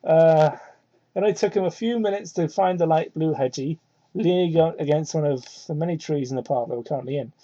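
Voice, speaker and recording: A woman's voice: a little fast, mellow. Irreverent woman reading, muffled mic. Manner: irreverent, sweary, breaks off to comment. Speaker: amateur fan fiction reader. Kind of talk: dramatic reading with mocking asides. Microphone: cheap microphone. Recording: muffled low-quality microphone.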